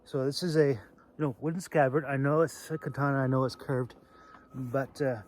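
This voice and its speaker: A man hosting a casual, off-the-cuff demonstration video, talking in a halting, rambling way with phrases that trail off. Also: mid-range voice, steady tone, darkish tone, slight background noise. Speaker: video host demonstrating sword carry.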